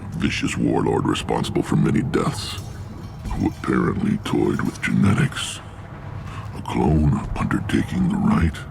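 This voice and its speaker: Gravelly, rumbling alien warlord. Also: male voice, measured pace, background noise, full character voice. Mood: blunt. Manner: blunt, authoritative. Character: huge gruff alien warlord clan leader, commanding, weathered. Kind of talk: video game voice acting.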